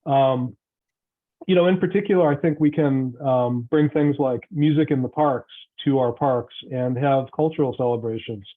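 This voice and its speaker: A man's voice: mid-range voice, normal speed. A man running for city council, answering a panel question in an earnest, conversational way while thinking aloud. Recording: tinny call-quality audio.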